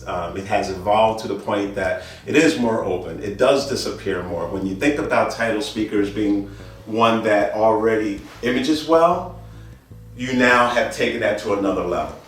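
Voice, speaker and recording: A man giving an informal product presentation as a dealer, speaking in a deliberate, halting way with repeated phrases. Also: on the low side. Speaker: audio dealer presenting loudspeakers. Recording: roomy, distant mic, faint music behind.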